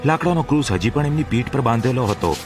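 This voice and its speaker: A man's voice: mid-range voice, expressive, quick pace. A man narrating a scripted, dramatic Bible story as the narrator of an audio drama. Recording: music behind the narration.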